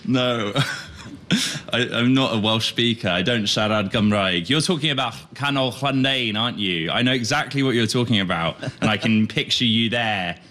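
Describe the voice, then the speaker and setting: A man's voice: mid-range voice, normal speed, sharp tone. A man, a tech show host, talking as a guest in a loose, self-deprecating way. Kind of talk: live interview chat.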